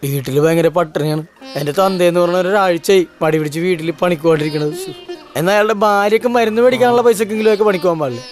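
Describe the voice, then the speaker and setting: An actor playing the complaining, exasperated son of a poor household in a comedy clip. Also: male voice, high-pitched, racing, faint music behind, lightly acted. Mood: aggrieved complaining.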